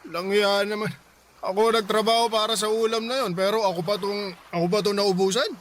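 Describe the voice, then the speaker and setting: A man's voice: high voice, fairly even intonation, a little fast, a bit bright, slight background noise. A man voicing a grieving widowed father who grumbles and defends himself in a radio drama. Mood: grumbling. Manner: defensive, sulky. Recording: music bed underneath.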